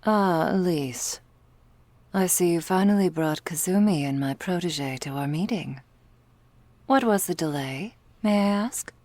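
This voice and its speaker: Woman acting slow, husky, menacing vampire boss lady. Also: fairly low pitch, lively intonation, darkish tone, no echo. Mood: cool, displeased. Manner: slow, formal, silky and threatening. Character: menacing, imperious female vampire crime syndicate boss. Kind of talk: ASMR roleplay acting.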